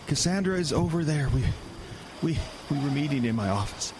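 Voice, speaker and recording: An actor playing an injured, delirious pastor in an audio drama, halting and stammering over background noise. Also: male voice, on the high side, lively intonation, close to an everyday voice. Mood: dazed, pleading. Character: injured, delirious male pastor pleading for help.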